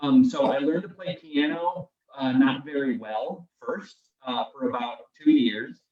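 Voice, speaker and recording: A man's voice: mid-range voice, measured pace, reverberant, distant mic, narrow, tinny audio, crowd noise. A man guesting as a children's musician, explaining things simply and encouragingly to young listeners. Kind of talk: children's singalong and friendly explaining. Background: acoustic guitar.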